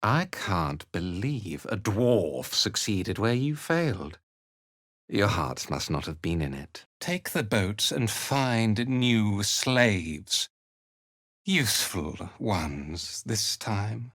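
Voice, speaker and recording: An actor playing a cold, zealous cult commander in a fantasy video game, speaking in a measured, theatrical and disdainful way. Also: male voice, lowish voice, sing-song, fairly bright tone, no echo, studio quality, heavily acted. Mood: sardonic contempt. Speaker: villainous military commander.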